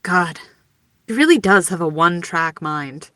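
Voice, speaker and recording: A woman's voice: lowish voice, lively intonation, unhurried, pushed and bright, a little hiss or hum. A woman playing a chatty, casual, teasing writer in a naturalistic fiction podcast.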